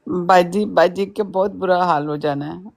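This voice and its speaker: A woman's voice: fairly low pitch, measured pace, gravelly, a little mellow. A woman explaining things informally as a co-teacher in a casual chat.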